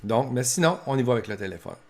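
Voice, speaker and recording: A man's voice: mid-range voice, animated, fast. A man with a Québécois French accent giving an informal instructional talk as a professor, explaining in colloquial, practical language. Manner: explanatory, colloquial, acts out short examples. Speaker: professor giving advice to family caregivers.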